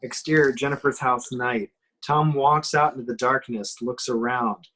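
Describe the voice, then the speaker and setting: A man's voice: mid-range voice, fairly even intonation, moderate pace, fairly bright tone, rough recording. A man reading out screenplay action as narrator in an amateur horror table reading.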